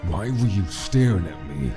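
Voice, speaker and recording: Man voicing a very deep, menacing skeleton mobster. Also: animated, normal speed, music in the background. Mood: menacing, bitter. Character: menacing male skeleton mobster, slyly taunting. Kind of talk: comic dub character acting.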